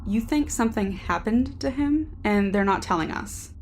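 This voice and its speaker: An actress playing a cool, cynical security team member in a science fiction audio drama, terse, wry and matter-of-fact. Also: female voice, on the high side, expressive, moderate pace, a little mellow, a little hiss or hum. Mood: inquisitive.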